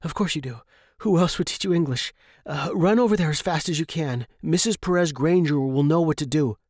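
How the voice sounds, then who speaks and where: A man voicing a flustered, earnest, kindly gentleman explorer in a storybook, exclaiming with old-fashioned politeness. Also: a little high, a little fast, no echo, clean studio sound, voice pushed up, natural voice. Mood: reassuring. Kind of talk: storybook character dialogue.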